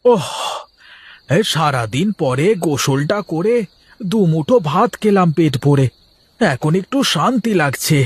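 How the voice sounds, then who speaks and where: A man's voice: a little high, lively intonation, a little slow, husky. A man voicing a plain-living village vegetable seller in a cartoon, wheedling and defensive, full of colloquial interjections. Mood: homely, grumbling. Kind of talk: cartoon character dialogue.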